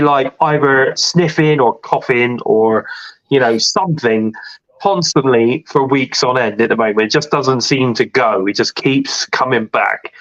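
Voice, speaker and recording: A man's voice: medium pitch, a bit bright, lo-fi sound. A man hosting a casual wrestling podcast, congested with a cold and chatting in a rambling way with lots of fillers. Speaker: podcast host.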